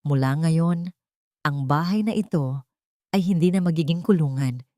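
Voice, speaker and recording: A long-suffering Filipino matriarch played by a woman narrator speaks in a measured, solemn way. She is a gentle but resolute grandmother in an audio story, recorded dry. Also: deep voice, dry recording, close to an everyday voice. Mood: soothing.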